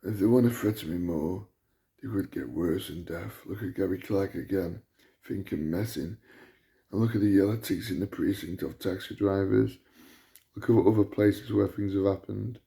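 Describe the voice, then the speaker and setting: Man, loud indignant rant, very low voice, dark tone. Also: moderate pace, creaky. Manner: repetitive, indignant, emphatic. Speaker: vlogger. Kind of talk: ranting monologue to camera.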